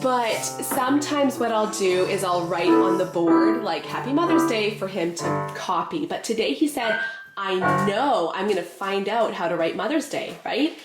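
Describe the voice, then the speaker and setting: A woman in her thirties, hoarse from a cold, chatting casually and rambling as she vlogs her day into a handheld camera. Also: mid-range voice, expressive, moderate pace, over loud music. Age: 30s. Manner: chatty, rambling, self-correcting. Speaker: vlogger and mother. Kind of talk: casual vlog chat.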